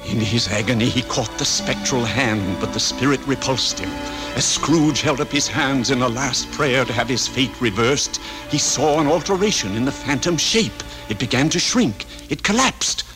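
A man's voice: medium pitch, normal speed, rough recording. Dramatic male radio narrator over music. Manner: dramatic, solemn storytelling. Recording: old radio recording with music behind.

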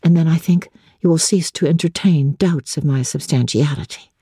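A woman voicing a devoted young woman, tender, practical and gently teasing, with composed, formal speech in a dramatic audiobook. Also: low-pitched, normal speed, breathy edge, no room sound, studio quality. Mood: brisk, teasing. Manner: composed, playful, formal old-fashioned speech. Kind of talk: dramatic literary audiobook reading.

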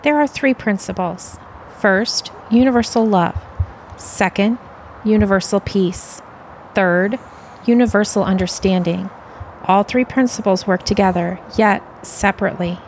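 A woman's voice: mid-range voice, a little slow, darkish tone, slight background noise, close to an everyday voice, low-quality recording. A woman playing an earnest, serene alien envoy in an audio play, with stilted, formal phrasing.

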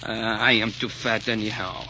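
A man's voice: on the low side, little pitch movement, moderate pace, bright, forceful tone. A man acting a fat, loyal, meddling servant in a 1940s radio melodrama, droll and deferential yet cheeky. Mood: meek, reproachful. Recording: dull, hissy 1940s radio recording.

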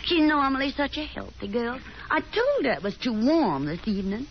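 A fussy Southern mother in an old radio play, her voice high and constantly swooping. She chatters and nags with genteel, effusive insistence. The broadcast is muffled and vintage. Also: very animated, normal speed, a little hiss or hum. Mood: chatty, genteel. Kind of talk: 1950s radio drama acting.

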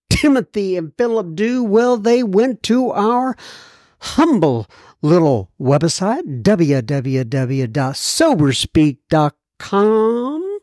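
A folksy American man hosts a podcast in a high-pitched voice. He rambles chattily through his introductions and questions, and the recording is close and dry. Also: a little mellow, no room sound. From United States. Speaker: podcast host. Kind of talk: casual podcast intro and interview.

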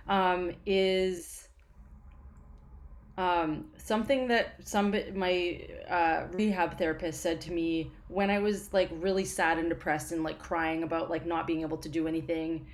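A woman guest on a podcast, talking casually with lots of fillers and restarts. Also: mid-range voice. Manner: halting, many fillers and restarts. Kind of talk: casual interview chat.

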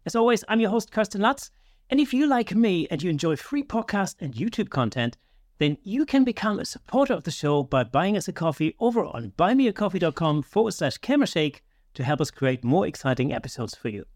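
A man's voice: high voice, very animated, brisk, dry recording, clean studio sound. A man hosting a podcast, moving between presenter-style introduction and casual interview questions. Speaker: podcast host.

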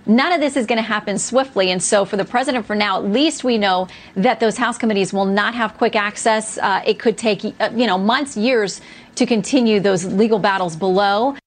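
Female news correspondent, clear, explanatory delivery. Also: mid-range voice, normal speed. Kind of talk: news report.

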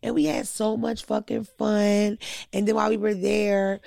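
A woman's voice: mid-range voice, little pitch movement, normal speed, gravelly, warm tone, no room sound. A woman telling blunt, crude stories in a casual podcast chat, close to the mic.

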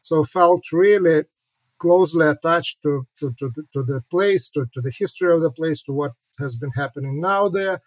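A middle-aged man from Ukraine, a historian and author, answering interview questions haltingly, with many repeats and fillers. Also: fairly high pitch, dry recording, poor audio.

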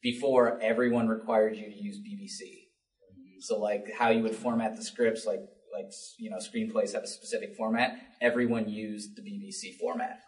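A man speaking as a panelist in an unscripted discussion, halting often as he searches for words. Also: lowish voice. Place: panel at a public library, indoors. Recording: roomy, distant library event recording.

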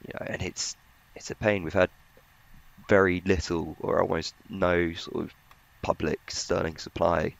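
A man's voice: sits low, flat delivery, a little slow, creaky. A man giving conversational market commentary as a journalist on a podcast, in slightly muffled audio. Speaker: financial journalist, podcast panellist.

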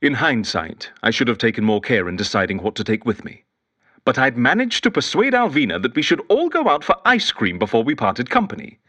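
A man's voice: medium pitch, fairly bright tone. An actor playing a lonely, eccentric male detective who rambles fussily and whimsically into an audio diary in an audio drama. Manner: fussy, whimsical, wistful, digressive. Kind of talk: audio drama monologue as spoken journal.

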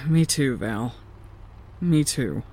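A cautious but supportive woman working on the Moon. Her low voice moves with lively inflection, in the conversational manner of an audio drama. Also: drawn-out words, slight background noise, full character voice. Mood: resigned.

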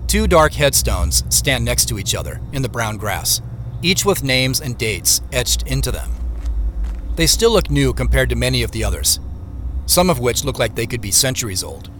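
A man acting as the observant first-person narrator of an audio drama, telling the scene in a measured, descriptive way. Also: mid-range voice, big pitch swings, a bit bright, slight background noise, low-quality recording. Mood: reflective.

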